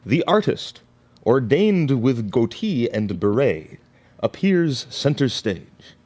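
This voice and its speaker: Slow, expressive male radio play narrator. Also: mid-range voice.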